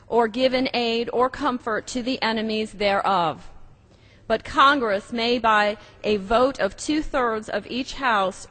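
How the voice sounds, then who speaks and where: A woman with a fairly high voice gives a formal reading of a legal text. Long reverb from a big chamber follows her words. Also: fairly bright tone. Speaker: member of Congress. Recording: reverberant large legislative chamber.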